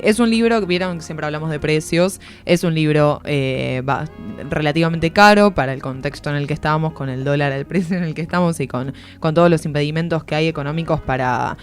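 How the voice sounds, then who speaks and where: A woman with an Argentine accent giving an informal, explanatory book review as a columnist on a radio show. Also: low-pitched. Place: radio studio. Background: music.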